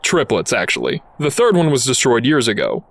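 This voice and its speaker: Man acting a wisecracking robot with swooping pitch. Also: very animated, a little fast, vocal fry, fairly bright tone, exaggerated voice. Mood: dry, sardonic. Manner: sardonic, quippy. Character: wisecracking, cynical robot detective. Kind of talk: audio drama acting.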